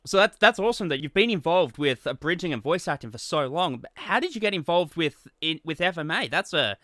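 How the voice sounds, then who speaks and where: A man hosting a relaxed interview, chatting casually and putting questions to his guest. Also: on the high side, fairly quick, no room sound, studio quality. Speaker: interviewer and series host.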